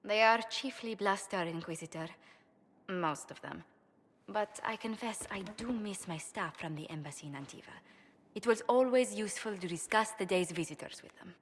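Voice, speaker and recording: A woman's voice: mid-range voice, long reverb tail, slight background noise, lightly acted. A woman playing a courteous, composed ambassador in a video game, speaking formally with mild exasperation. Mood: polite, measured. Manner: formal, polite, measured phrasing. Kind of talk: video game character dialogue.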